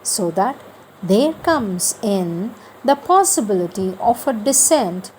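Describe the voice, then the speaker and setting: Woman, spiritual reader-teacher. Slow, deliberate, devotional delivery with emphatic phrasing, faint noise behind. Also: mid-range voice, big pitch swings, a little mellow, rough recording. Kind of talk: devotional spiritual lecture with read-out passages.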